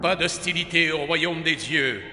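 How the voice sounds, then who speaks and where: An actor playing Zeus, a blustering, fatherly king of the gods in a comic audio drama, giving booming commands. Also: unhurried, pushed and bright, heavily acted. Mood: commanding. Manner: booming commands, stammers when flustered.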